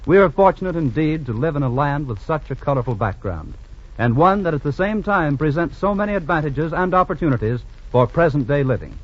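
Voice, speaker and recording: A man's voice: a little high, animated, a little fast. A man making formal scripted announcements in the style of a 1940s radio program. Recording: dull, hissy vintage radio recording.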